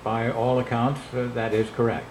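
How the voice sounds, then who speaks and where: A man's voice: mid-range voice, level tone, normal speed, darkish tone, natural voice. A man playing a cautious, learned scientist who speaks formally and hesitantly in a radio drama interview. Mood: guarded, careful. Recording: echoey room playback, faint noise.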